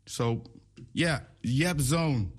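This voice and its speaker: A man's voice: medium pitch, very slow, husky. A man hosting a casual interview, asking rambling questions that often restart midway. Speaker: interviewer host.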